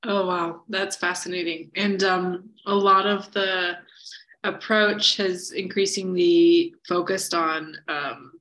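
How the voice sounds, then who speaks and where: A woman hosts a podcast interview, her voice a little lower than average. She asks questions in a friendly, curious way and often stops on hesitant fillers before finishing them. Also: fairly even intonation, takes it slowly, fairly bright tone, rough recording. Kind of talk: scripted intro, then casual interview.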